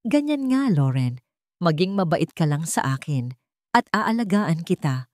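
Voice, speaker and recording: A woman's voice: sits low, big pitch swings, measured pace, dry recording, clean studio sound. A woman voicing a smug, controlling, greedy husband in an audio melodrama, with a condescending manner.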